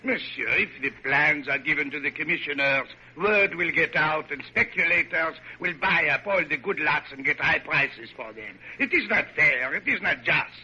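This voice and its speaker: An actor in an old radio drama playing a proud, temperamental French architect with a French accent, formal and defiant. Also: male voice, on the high side, expressive, moderate pace, few pauses, sharp tone, slightly rough, exaggerated voice. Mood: indignant. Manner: haughty, formal, indignant. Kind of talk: 1940s radio drama acting. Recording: muffled old radio broadcast.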